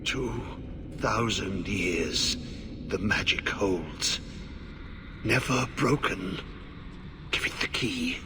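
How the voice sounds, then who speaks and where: An actor playing a half-mad, blighted old warden in a video game, muttering in halting, repetitive fragments. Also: male voice, lowish voice, animated, noisy background. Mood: reverent. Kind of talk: dramatic video game acting.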